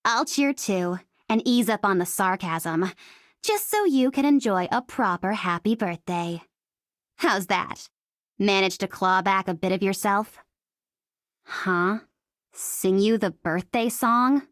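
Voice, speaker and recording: A sardonic mercenary woman from a video game, acted by a woman, speaks at a medium pitch in a very dry studio sound. She taunts and teases with cocky sarcasm, playfully menacing and happy to threaten destruction. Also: no echo, natural voice. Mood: wry, playful. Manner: sarcastic, taunting, teasing delivery. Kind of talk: video game character voice lines.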